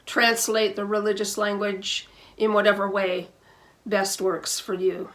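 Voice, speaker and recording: A woman minister leading a guided prayer, measured and deliberate, pausing between phrases, with music behind her. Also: mid-range voice, little pitch movement. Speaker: minister leading a church service. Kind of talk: slow guided prayer and benediction.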